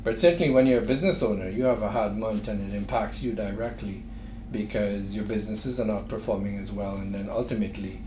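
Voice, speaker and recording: A middle-aged man with a Trinidadian accent, a guest speaking in a thoughtful, measured way with frequent pauses. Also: lowish voice. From Trinidad and Tobago. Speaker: guest entrepreneur. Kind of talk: interview conversation. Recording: echoey room with faint noise.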